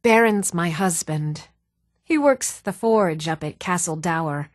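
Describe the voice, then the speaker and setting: An actress playing an adult townswoman in a fantasy role-playing game, speaking in an even, measured, clearly enunciated way. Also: lowish voice, no room sound, studio quality, lightly acted. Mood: gossipy. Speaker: video game voice actor. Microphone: close, dry studio microphone.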